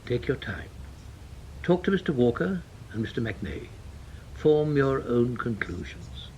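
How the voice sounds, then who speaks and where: An actor playing an authoritative senior British intelligence chief in a radio drama, speaking in a formal, deliberate, businesslike way. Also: male voice, medium pitch, sing-song, darkish tone, a little hiss or hum. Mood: measured, businesslike.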